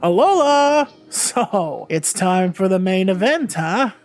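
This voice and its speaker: A man's voice: high voice, big pitch swings, slow pace, faint music behind, exaggerated voice. A man playing a laid-back gym leader who runs a motel, casual and reassuring, in a tabletop roleplay. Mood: breezy welcome.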